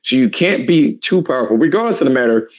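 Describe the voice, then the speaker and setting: A man in his thirties with a raspy, tired voice, ranting profanely in a heated casual chat. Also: mid-range voice, lively intonation, fast talker. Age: 30s. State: tired, hoarse voice.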